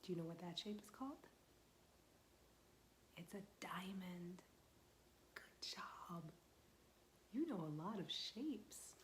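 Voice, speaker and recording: A young woman librarian leading a storytime for young children, asking questions in a sing-song voice and dropping to soft whispers for suspense. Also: fairly low pitch, expressive, slow, long pauses, slight background noise.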